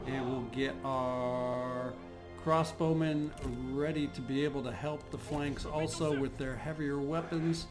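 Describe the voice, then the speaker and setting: A man's voice: medium pitch, steady tone, measured pace. A man with a slightly scratchy voice giving unscripted strategy game commentary, thinking aloud as he goes. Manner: thinking aloud, hesitant, throat-clearing. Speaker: host of a gaming channel. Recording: game music behind the voice. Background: video game music.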